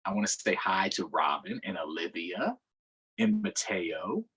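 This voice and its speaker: A man's voice: low-pitched, big pitch swings, measured pace, fairly bright tone, slightly rough, low-quality recording. An American man hosting a livestreamed storytime for children, talking casually in an upbeat, conversational way.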